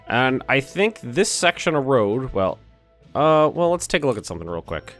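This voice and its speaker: A man with a slightly hoarse voice, casually commenting while he plays a city-building game, thinking aloud and cursing now and then. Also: mid-range voice, very animated, normal speed, fairly bright tone, faint music behind. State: a little hoarse. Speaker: let's play host.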